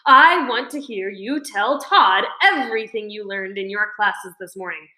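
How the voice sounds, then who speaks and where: A woman voicing an angry school principal in a children's story, barking orders in a forceful, commanding shout. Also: medium pitch, measured pace, roomy sound. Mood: furious, commanding.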